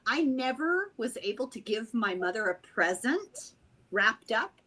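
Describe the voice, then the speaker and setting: An older woman born in Ireland and raised in the United States, chatting as a guest on a video call and telling stories in an animated way. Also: a little high, a little slow. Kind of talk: casual chat.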